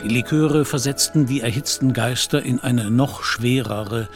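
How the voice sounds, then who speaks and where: A man's voice: medium pitch, unhurried. Male radio-play narrator over music. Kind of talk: scripted literary narration in German radio play.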